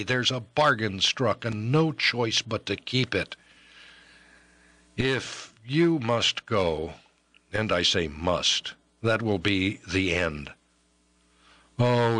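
A young noblewoman torn by love pleads through tears, as a male narrator takes her on in a storybook reading. Her lines sit well above the reader's own deep register, still carrying his bright, forward tone. The sound is close and dry. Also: no room sound. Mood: tearful. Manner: pleading, tearful. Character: young noblewoman, anguished and passionate lover. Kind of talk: audiobook character reading.

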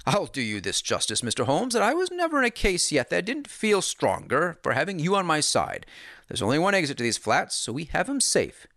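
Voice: medium pitch, huge pitch swings, brisk, fairly bright tone, no echo, studio quality, voice pushed up. A reader voicing a bluff, self-satisfied male police inspector in a Victorian audiobook. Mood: smug. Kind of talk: audiobook character reading.

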